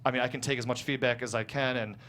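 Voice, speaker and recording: A man talking casually as a guest screenwriter, explaining his work in a halting, self-correcting way. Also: medium pitch, steady tone, fairly bright tone. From small town in the United States. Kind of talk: casual panel discussion of own script. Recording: clear background noise and hum.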